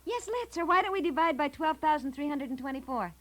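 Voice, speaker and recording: An actress playing a flustered, impatient housewife with dry, pointed politeness in a 1960s radio domestic comedy. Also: female voice, high voice, fast talker, natural voice. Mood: sarcastic. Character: harried housewife, impatient but politely sarcastic wife.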